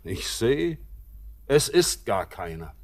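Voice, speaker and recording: An actor playing a bitter, brooding young sailor back home, in a classic radio play. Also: male voice, fairly low pitch, big pitch swings, full character voice. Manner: slow, somber, bitter and brooding.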